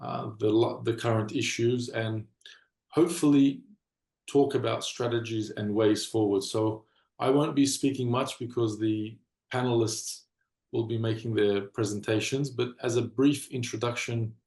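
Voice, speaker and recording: A man hosting a webinar and introducing panelists in an earnest, measured way, with frequent ums. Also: medium pitch, steady tone, darkish tone. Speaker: host. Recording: echoey room.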